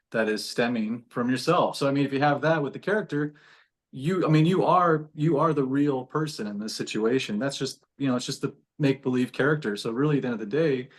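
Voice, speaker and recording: Earnest man rambling through casual advice. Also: medium pitch. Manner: earnest, rambling, repeats himself. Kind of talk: casual group discussion.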